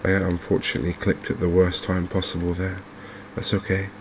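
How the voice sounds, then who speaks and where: A man speaking softly and unhurriedly in an ASMR style, murmuring his way through video game commentary as a streamer. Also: deep voice, monotone, background noise. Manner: soft-spoken, unhurried, murmuring to himself.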